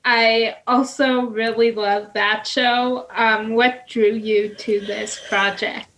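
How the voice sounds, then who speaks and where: Slow, giggly young woman host. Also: fairly high pitch, fairly even intonation, fairly bright tone, a little hiss or hum, poor audio. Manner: hesitant, many ums, giggly. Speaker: interview host. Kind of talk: casual interview.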